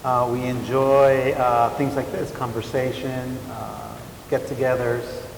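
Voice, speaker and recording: A man's voice: mid-range voice, takes it slowly, creaky. Joking Cuban-born man in a reverberant hall. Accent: blended Caribbean and Central American Spanish. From Cuba, raised in Miami. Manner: relaxed, joking, many fillers and tag questions. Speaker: panelist. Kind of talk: panel discussion. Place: large event hall. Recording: echoey hall, faint hiss.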